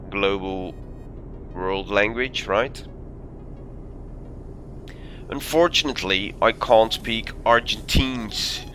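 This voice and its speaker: Guy, on his english, bright tone, talking slow while he drives in a game, lots of uhs. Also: lowish voice, a little hiss or hum. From Belgium. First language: Dutch. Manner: thinking aloud, easygoing, frequent ums and uhs. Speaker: game streamer. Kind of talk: live gameplay commentary.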